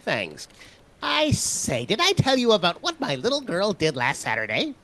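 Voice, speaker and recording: A man's voice: sits high, huge pitch swings, normal speed, pushed and bright, full character voice. A man playing a chatty, eager, party-loyal neighbour and proud father in an audio drama. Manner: busybody, boastful, jovial.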